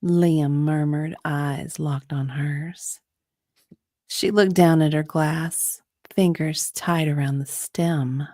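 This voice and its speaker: A woman narrating a dramatic romance reading in a breathy way, often dropping to a whisper. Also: deep voice, steady tone, slow pace, mellow, no echo, clean studio sound.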